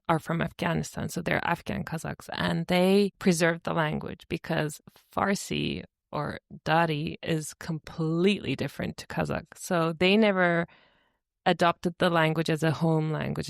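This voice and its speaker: Easygoing woman telling stories, low voice. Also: normal speed, gravelly, no room sound, clean studio sound. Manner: lively, relaxed storytelling with "you know". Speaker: podcast co-host. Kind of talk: casual chat.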